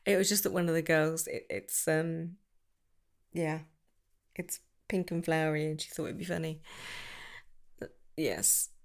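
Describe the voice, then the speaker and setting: A young woman doing a girlfriend roleplay to the listener, soft and affectionate, giggling and slipping into whispers. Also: low voice, steady tone, unhurried, long pauses. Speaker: ASMR roleplay creator.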